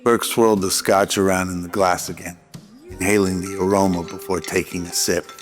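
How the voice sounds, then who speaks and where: A man's voice: low voice, flat delivery, moderate pace. A man narrating a scripted noir radio drama. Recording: music behind the voice.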